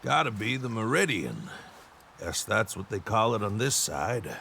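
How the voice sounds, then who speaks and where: Gravelly, folksy older rider. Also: male voice, on the low side, sing-song, unhurried, bright, forceful tone, a little hiss or hum, heavily acted. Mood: easygoing, musing. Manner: folksy, blunt. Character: easygoing, plain-spoken older male rider companion. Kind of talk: game cutscene acting.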